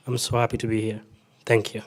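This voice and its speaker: A man's voice: on the low side, monotone, quick pace, heavy background noise. A middle-aged man from Rwanda, a native Kinyarwanda speaker, giving a short speech to introduce himself. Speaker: refugee resettlement worker introducing himself.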